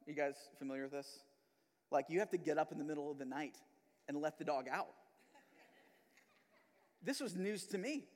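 A young man from the Philippines, a pastor, opening his sermon with casual, rambling chat full of fillers. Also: a little high. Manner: chatty, filler-heavy, self-deprecating. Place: church hall. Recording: long hall reverb.

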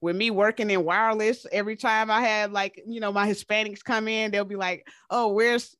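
A podcast host chatting casually, reacting quickly and encouragingly with slangy speech. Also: medium pitch, fairly even intonation, fairly bright tone, no room sound, studio quality.

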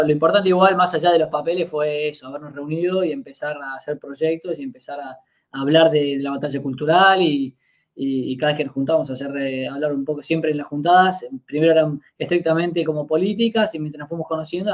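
A man in his twenties with an Argentine accent, a podcast guest telling a long story in a casual, hesitant way, full of fillers and restarts. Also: fairly high pitch. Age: 20s. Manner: hesitant, many fillers and restarts while recounting.

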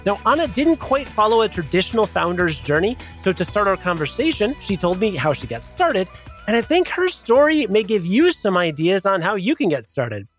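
A man's voice: high voice, big pitch swings, normal speed, soft background music. A man hosting a podcast, reading a polished intro and asking interview questions. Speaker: podcast host.

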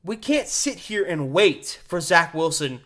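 A man hosting a sports radio show solo, giving opinionated, emphatic commentary with deliberate pauses and rhetorical questions. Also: fairly high pitch, very animated, a bit bright.

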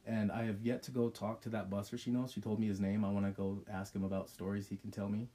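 Male podcast co-host, low and hoarse from illness, laid-back and swears freely. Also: flat delivery, fast, warm tone. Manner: curses casually. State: hoarse from being sick. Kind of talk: casual podcast chat.

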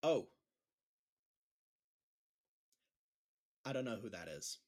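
A man's voice: fairly low pitch, sing-song, fast talker, darkish tone. An actor playing a nervous, earnest male gym teacher who speaks awkwardly and hesitantly in an audio drama. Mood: puzzled, earnest. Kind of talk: naturalistic audio drama dialogue.

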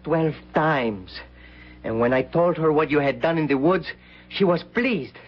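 Timid, husky-voiced servant. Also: male voice, a little high, lively intonation, measured pace. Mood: sullen. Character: timid, servile Spanish-speaking male servant, secretly defiant. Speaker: actor. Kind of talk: old-time radio drama acting. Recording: dull old radio broadcast.

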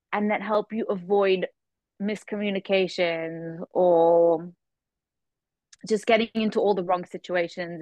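A woman's voice: mid-range voice, no room sound. A woman in her thirties, a podcast host chatting casually in conversational discussion. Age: 30s.

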